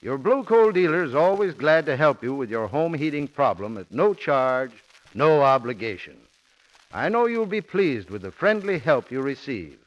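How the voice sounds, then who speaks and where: Animated male radio pitchman, dull old recording. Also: normal speed. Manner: instructional, folksy. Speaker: sponsor's home heating spokesman. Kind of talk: scripted 1940s radio advertising talk.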